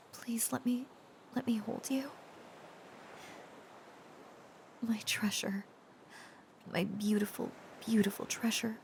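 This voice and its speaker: Slow, hesitant, tender dragon girl voiced by a woman. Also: fairly high pitch. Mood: adoring, tender. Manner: hesitant, halting, earnest, often dropping to breathy whispers. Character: tender, shy, protective dragon-descended woman confessing her love. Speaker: voice actor. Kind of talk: intimate romantic audio roleplay.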